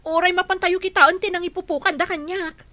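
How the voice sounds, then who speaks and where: A woman's voice: extremely high pitch, steady tone, a little fast, pushed and bright, no echo. A woman playing an aggrieved, insistent woman in a radio drama, pleading and emphatic in her delivery. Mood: urgent, accusing. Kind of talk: radio drama acting in Ilocano.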